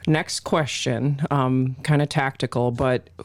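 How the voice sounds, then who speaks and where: A man's voice: mid-range voice, fairly even intonation, takes it slowly, dry recording. Dry, close-sounding male interview host. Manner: encouraging, frequent affirmations. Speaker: podcast host, organization president. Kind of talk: conversational interviewing.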